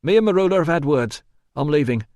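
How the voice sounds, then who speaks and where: A male narrator voicing a gruff, blunt and determined kitchen worker in an audiobook story. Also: a little high, lively intonation, brisk, no echo, voice pushed up, lightly acted. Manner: blunt, forceful.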